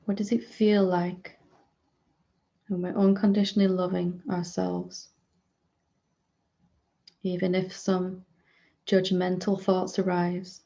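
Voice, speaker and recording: Gentle, low-toned woman guiding meditation, creaky and mellow. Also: muffled recording. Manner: gentle, unhurried, with long pauses between short phrases. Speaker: spiritual teacher leading a guided meditation. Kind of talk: guided meditation, unscripted gentle prompting.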